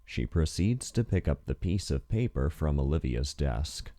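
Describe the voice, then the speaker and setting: Very deep-voiced man narrating. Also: lively intonation, normal speed, dark tone. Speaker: narrator and voice actor. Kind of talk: scripted first-person visual novel narration.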